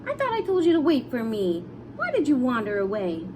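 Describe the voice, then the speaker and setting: A woman plays an affectionate little girl from a storybook. The voice is high, earnest and full of big pitch swings. Clear noise sits behind it. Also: huge pitch swings, a little fast. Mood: eager, fond. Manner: emotive, earnest.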